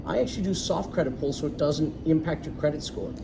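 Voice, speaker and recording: A man's voice: on the high side, quick pace. A man, a loan originator, explaining things in a confident, chatty way as a guest. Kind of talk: casual interview. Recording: distant mic, clear background noise.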